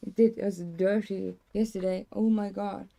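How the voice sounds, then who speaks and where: A young woman, hoarse today, chatting in a rambling, casual way with many fillers and restarts. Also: medium pitch, steady tone, measured pace, warm tone. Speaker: streamer. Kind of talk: casual chat.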